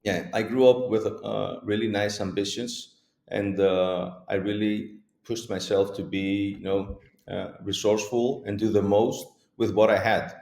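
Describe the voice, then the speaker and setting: A man in his forties from Greece, a guest in a casual video-call interview, talking in a relaxed way, chuckling now and then, with many fillers. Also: fairly low pitch, measured pace, creaky, reverberant.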